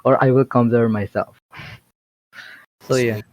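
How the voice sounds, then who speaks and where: A man's voice: mid-range voice, fairly even intonation, unhurried, no echo. A young man chatting casually in an online voice call, rambling through restarts and fillers. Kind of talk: casual chat. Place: home, online voice chat. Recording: dull voice-chat audio.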